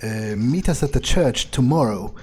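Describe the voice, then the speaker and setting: Man playing a husky, dark-toned cocky vampire. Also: mid-range voice, expressive, moderate pace. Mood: blunt, scornful. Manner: hesitant, drawling, swearing. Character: cocky, crude, sadistic male vampire, relishing the night's violence. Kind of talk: in-character roleplay of a video game.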